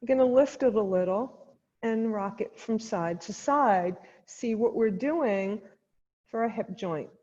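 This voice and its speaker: A woman leading a guided chair yoga class as the instructor, giving gentle step-by-step cues with pauses, in a muffled, reverberant recording. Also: on the high side. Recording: dull sound in a long-reverb hall.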